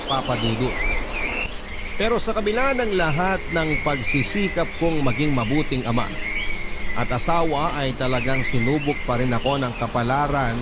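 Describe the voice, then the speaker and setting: A man's voice: mid-range voice, close to an everyday voice. A man playing a remorseful, struggling young father, narrating his story earnestly in a scripted radio drama. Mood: regretful, bitter. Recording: loud music bed.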